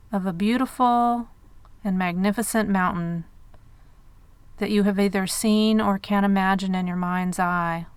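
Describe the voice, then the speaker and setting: Very smooth, clear woman guiding meditation. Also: mid-range voice, dry recording. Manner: measured, unhurried phrasing with pauses. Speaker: meditation guide. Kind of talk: guided meditation narration.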